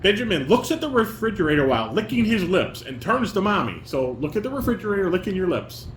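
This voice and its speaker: A man's voice: high-pitched, sing-song, fast talker. A middle-aged man casually coaching a read-through, reading stage directions aloud and correcting step by step in a roomy recording. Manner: instructive, demonstrates and corrects step by step. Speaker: father directing a family table read. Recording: roomy, echoey home recording with background noise.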